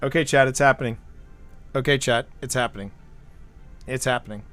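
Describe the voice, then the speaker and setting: A man's voice: mid-range voice, fairly even intonation, brisk, a bit bright, faint music behind. A man from the United States hosting a livestream, rambling through stories and often repeating his words as he chats. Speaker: livestream host. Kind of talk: casual chat.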